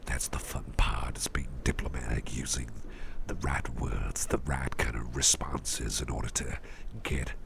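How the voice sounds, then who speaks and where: Doting gentleman boss for ASMR roleplay, played by a man: extremely low, crackling half-whisper, genteel and hesitant, close on the mic. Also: fairly even intonation, heavily acted. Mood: musing, calculating. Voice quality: very low, gravelly, breathy near-whisper. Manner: unhurried, hesitant, repeats phrases softly. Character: doting, genteel businessman boss, smooth-talking antique hunter. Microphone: close microphone.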